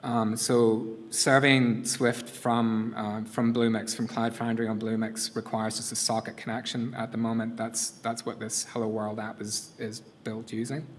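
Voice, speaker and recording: A middle-aged man with a non-North American accent, giving a casual, halting live coding demo at a conference lectern, with many fillers and restarts. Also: fairly low pitch, completely flat delivery, a little hiss or hum. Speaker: conference workshop presenter. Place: conference room. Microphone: lectern mic.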